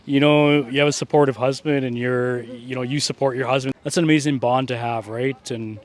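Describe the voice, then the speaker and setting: A man's voice: mid-range voice, fairly even intonation, fairly quick. A man asking questions as a street interviewer, encouraging and often repeating words. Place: outdoors on a city street.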